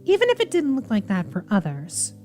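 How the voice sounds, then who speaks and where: A woman voicing a soft-spoken, coldly obsessive young female killer from a visual novel, measured and sinister. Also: fairly high pitch, huge pitch swings, soft background music. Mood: cold, menacing. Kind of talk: visual novel character reading.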